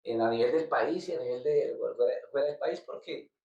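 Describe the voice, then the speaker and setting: A man from Colombia talks through an interview in a halting, repetitive way, circling back on his words. His voice has a gravelly edge. He sits well back from the mic in an echoey room, and the sound is dull and lo-fi. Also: mid-range voice. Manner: halting, repeats words while searching for them. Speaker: guest musician. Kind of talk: casual interview. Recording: roomy, distant, dull lo-fi sound.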